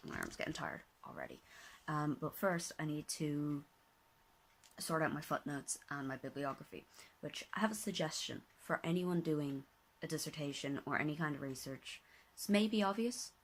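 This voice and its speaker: A tired, slightly hoarse young woman talking casually to her phone camera at home, rambling, correcting herself and sometimes dropping to a whisper. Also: deep voice, animated, moderate pace, low-quality recording. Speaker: vlogger, master's student. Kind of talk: casual vlog talk to camera. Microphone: handheld phone at arm's length.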